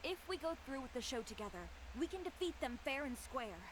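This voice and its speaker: Self-reliant female designer, dry, composed, slightly high, ambient game noise. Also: animated, fairly quick. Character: proud, cool-headed female tailor, self-reliant. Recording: game audio with background ambience.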